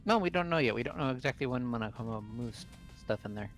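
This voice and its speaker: A man's voice: mid-range voice, animated, fast, a bit bright, faint music behind. A man casually theorising as a player in a group game playthrough.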